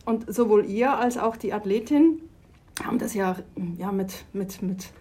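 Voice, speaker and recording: A woman's voice: medium pitch, measured pace, mellow, breathy edge. A middle-aged woman from Switzerland, talking enthusiastically in an interview about her work in sport. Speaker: sports organization official. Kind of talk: conversational interview.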